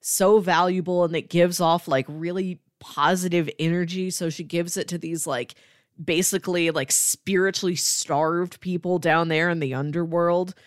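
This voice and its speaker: Low-pitched woman in a dry space. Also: steady tone, moderate pace, no echo. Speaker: podcast co-host. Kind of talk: casual book discussion.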